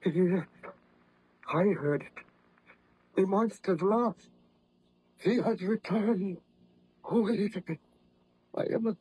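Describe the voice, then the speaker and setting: An actor playing an anguished, haunted baron in melodramatic 1930s radio style, with halting, fervent delivery. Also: male voice, sits high, darkish tone, slight background noise. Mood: terror. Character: anguished, haunted young baron hunted by his monstrous creation. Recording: muffled vintage radio drama recording.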